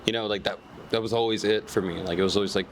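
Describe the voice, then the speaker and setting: A man's voice: lowish voice, fairly even intonation, racing, a little hiss or hum. A man in his late twenties, a band member chatting with enthusiasm and casual swearing. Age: 20s. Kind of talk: casual chat.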